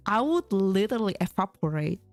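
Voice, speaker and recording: A young woman streamer chatting casually with her viewers, often restarting her sentences and filling pauses with "like". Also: medium pitch, sing-song, darkish tone, no room sound. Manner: chatty, many fillers and restarts, reads chat aloud. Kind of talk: casual chat with chat readers. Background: faint background music.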